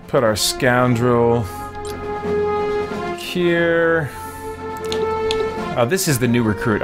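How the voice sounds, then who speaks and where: A man giving casual let's-play commentary as a gaming host, rambling and thinking aloud as he goes. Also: mid-range voice. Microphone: microphone with a fan nearby.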